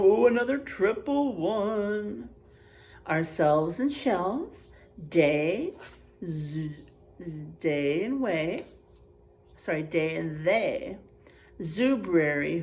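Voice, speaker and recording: A woman teacher reading aloud and chatting to young children in an expressive, encouraging, teacherly way. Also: mid-range voice. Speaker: first grade teacher. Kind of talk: picture book read-aloud and chat to young pupils.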